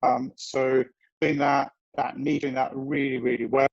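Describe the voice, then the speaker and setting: A man in his forties presents over a video call in a rambling, self-deprecating way. His voice is gravelly and the dry audio sounds lo-fi. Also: mid-range voice, flat delivery, unhurried, no room sound. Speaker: guest presenter. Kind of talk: technical presentation.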